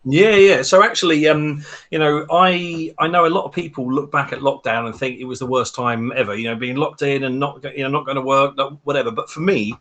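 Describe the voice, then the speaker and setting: A man's voice: mid-range voice, steady tone, fairly quick, few pauses, fairly bright tone. A man with a South London accent, a guest talking about home mining in a chatty, upbeat, self-mocking way. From Texas, raised in London from age eleven. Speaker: guest, home bitcoin miner and hardware maker. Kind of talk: casual podcast chat.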